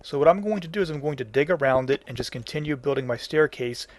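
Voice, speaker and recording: A man's voice: mid-range voice, fast. A man hosting a casual video game tutorial, explaining each step in plain, folksy wording. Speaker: tutorial host.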